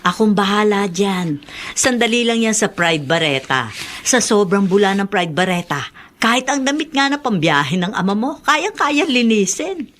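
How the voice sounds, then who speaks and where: A woman's voice: mid-range voice, big pitch swings, moderate pace, a bit bright. A middle-aged woman playing a protective, scornful mother in a Tagalog radio drama, fussing and exclaiming expressively. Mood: caring, bossy.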